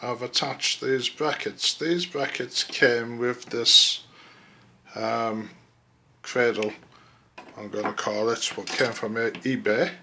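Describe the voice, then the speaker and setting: A man casually narrating a hands-on project as he works, halting and thinking aloud, recorded indoors on a handheld camera. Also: medium pitch, steady tone, vocal fry, sharp tone, slight background noise. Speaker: DIY video host. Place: room indoors. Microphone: handheld camera mic.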